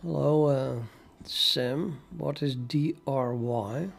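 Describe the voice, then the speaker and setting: An older man from the Netherlands with a Dutch accent, talking casually and haltingly to his livestream chat while he codes. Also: mid-range voice, vocal fry, slightly rough. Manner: musing, hesitant, halting with many fillers, chuckles to himself. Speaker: programming livestreamer.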